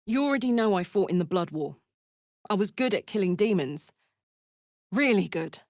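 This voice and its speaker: A woman's voice: mid-range voice, lively intonation, moderate pace, darkish tone, dry recording, studio quality, lightly acted. A woman voicing a brash, big-hearted fiery tiefling warrior in a video game, blunt, slangy and swaggering. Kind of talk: video game character voice lines.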